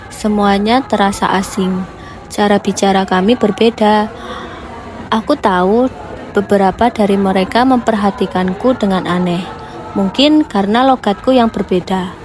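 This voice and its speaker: A young woman reads a soft, reflective inner monologue for a student film. Her voice is pitched in a middle range. She speaks in an even, thoughtful way.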